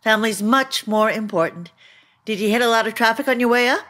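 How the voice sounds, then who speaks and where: A woman acting in an audio play as a brisk, chirpy middle-aged academic mother, chatty and bossy. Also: on the high side, a bit bright, lightly acted. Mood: chirpy, fussing.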